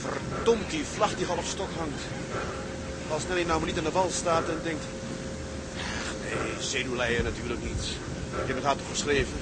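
A man's voice: on the high side, fairly even intonation, moderate pace, long pauses, heavy background noise, natural voice. An actor playing an earnest ship's first mate, a proud and anxious new father, in a radio drama.

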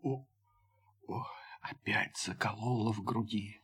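An actor playing a frail, sentimental ageing settler on Mars in a radio play, with halting, sighing, emotional speech. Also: male voice, on the low side, fairly even intonation, fairly bright tone, husky, full character voice. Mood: pained, breathless. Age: older. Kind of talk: Russian radio play acting. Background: music.

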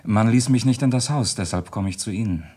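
Estranged son in radio crime drama, acted by a man: deep male voice, polite, measured, composed. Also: darkish tone, natural voice. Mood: composed, sober. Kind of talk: German radio play acting, dramatic dialogue.

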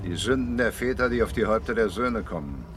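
An actor playing a sober, brooding man reasoning out a crime in a radio play, measured and terse. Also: fairly low pitch, animated, lightly acted. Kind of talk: German radio play dialogue. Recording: clear car noise behind dialogue.

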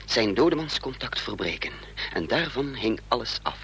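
An actor playing a troubled man caught up in intrigue, narrating his own story with measured drama in a radio play. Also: medium pitch, very animated, pushed and bright, slightly rough, exaggerated voice. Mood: grave. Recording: faintly noisy, humming radio play recording.

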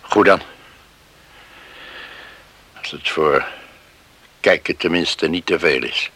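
A proud, careworn man in a radio play. His voice is extremely deep, and he speaks with weary reluctance. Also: slow, pushed and bright, breathy edge, exaggerated voice. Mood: reluctant. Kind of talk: radio drama acting.

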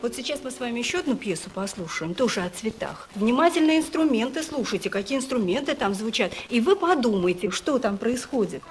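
A woman's voice: a little high, expressive, a little fast, hardly any pauses. A woman leading a music lesson for young children, asking them questions and reciting verse expressively.

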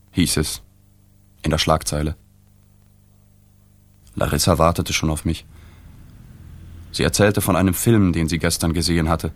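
A man's voice: sits low, dry recording. An actor playing a melancholy journalist in a literary radio drama, telling his story in a sober, restrained way. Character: subdued, melancholy male journalist narrating his own story. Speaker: narrator.

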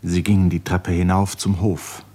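Very deep-voiced male radio drama narrator. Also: little pitch movement, moderate pace, warm tone. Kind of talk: scripted literary narration in a 1950s German radio drama.

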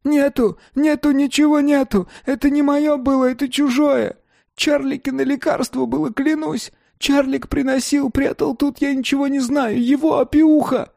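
Shrill, whining, terrified drug dealer. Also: male voice, expressive, a little slow, vocal fry, hardly any pauses, a little mellow, breathy edge, no room sound, studio quality, exaggerated voice. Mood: panicked pleading. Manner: shrill, squealing, pleading.

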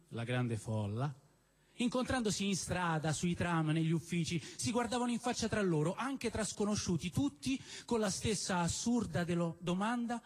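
A man reads a novel aloud on stage. His voice sits a little high for a man. He tells the story theatrically, leaning on key phrases and leaving deliberate pauses. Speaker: storyteller reading literary prose at a live show. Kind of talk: expressive dramatic reading of a novel. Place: live stage at a celebration event in a cinema venue.

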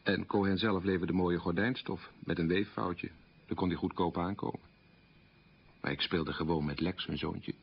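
A man's voice: low voice, steady tone, normal speed, vocal fry, a little hiss or hum. An actor playing a troubled middle-aged man who candidly recalls his wartime boyhood in a plain, anecdotal radio play monologue. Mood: rueful. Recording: muffled old radio recording.

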